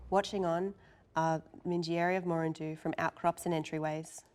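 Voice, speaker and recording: A woman with a lowish voice delivers scripted narration. She reads out scene headings and action lines as the narrator of a dramatic table read. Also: level tone, normal speed, darkish tone, clear, resonant tone. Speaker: narrator reading stage directions.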